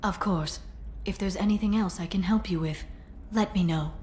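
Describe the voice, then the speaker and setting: A woman voicing a composed alien information broker in a video game cutscene, speaking softly and in a measured way. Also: medium pitch, a little hiss or hum, natural voice. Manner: soft-spoken, measured, quiet intensity.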